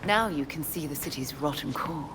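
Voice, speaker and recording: A poised female assassin leader from a video game, her voice pitched low. She chooses formal, ornate words and delivers them deliberately, with cunning and cool menace. A clear low rumble sits behind her. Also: a bit bright. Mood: ominous. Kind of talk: video game cutscene acting.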